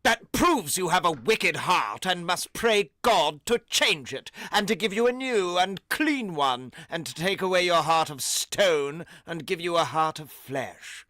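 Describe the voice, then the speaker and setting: An actor playing a pompous, sanctimonious male schoolmaster, slow, preachy and condescending, in a vintage radio drama style. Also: mid-range voice, expressive, bright, forceful tone, no room sound.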